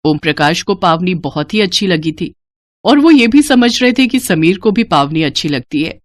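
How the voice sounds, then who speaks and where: A woman's voice: fairly low pitch, very animated, moderate pace, no room sound. A woman reading a scripted story as its narrator.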